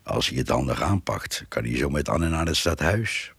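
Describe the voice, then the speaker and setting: An actor playing a grumbling, chatty older countryman in a radio play, gravelly, muttering and folksy. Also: extremely low pitch, expressive, normal speed, a bit bright, no echo, exaggerated voice. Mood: musing. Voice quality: gravelly, husky. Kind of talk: Dutch radio play acting.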